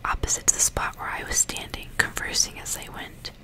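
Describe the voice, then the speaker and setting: A woman whispering in a breathy, unvoiced hush, as an ASMR host reading aloud in an unhurried way. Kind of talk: whispered ASMR audiobook reading with casual asides.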